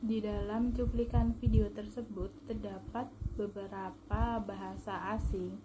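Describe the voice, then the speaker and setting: A woman's voice: mid-range voice, level tone, unhurried, noisy background. A young woman gives a formal, careful scripted presentation as a student. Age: young adult.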